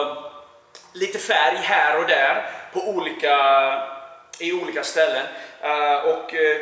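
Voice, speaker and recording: Man, 40s, Swedish accent, bright voice, fairly high pitch, relaxed with "eh" fillers, big roomy warehouse. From Sweden. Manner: relaxed, many "eh" fillers, speaks in both English and Swedish. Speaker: painting contractor. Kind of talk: casual interview answers. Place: paint supply warehouse, indoors, large. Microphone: lapel mic. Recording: very echoey warehouse with long reverb.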